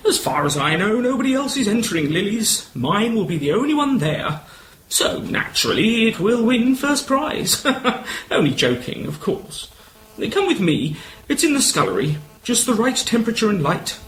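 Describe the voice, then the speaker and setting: A man acts an older retired colonel for an amateur radio play. He is courtly and proud, with a high, swooping voice and old-fashioned gallantry, over a faintly noisy recording. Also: very animated, moderate pace, fairly bright tone. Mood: proud, confiding.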